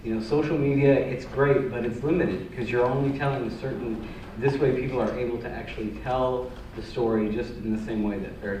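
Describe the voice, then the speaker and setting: A man's voice: medium pitch, darkish tone, slight background noise. A man answering on a panel in a thoughtful way, often restarting his sentences. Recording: echoey hall, distant mic.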